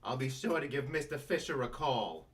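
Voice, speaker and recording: A man's voice: mid-range voice, expressive, normal speed, fairly bright tone, far from the mic, lightly acted. A man voicing a brash, hot-headed male puppet with a blustery, confrontational manner at a sitcom table read. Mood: haughty, combative.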